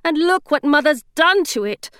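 Lady doing a spunky stubborn girl, kinda high, bouncy. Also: animated, normal speed, a bit bright, dry recording, studio quality. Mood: wry. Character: spirited, stubborn young girl.

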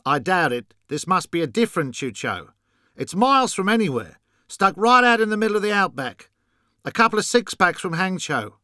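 Man voicing a pushy Australian businesswoman, pitched up. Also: sing-song, normal speed, sharp tone, no room sound, studio quality, exaggerated voice. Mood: brisk, urgent. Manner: abrupt, pushy, exasperated. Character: brash, impatient Australian businesswoman on the phone. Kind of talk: audiobook character dialogue.

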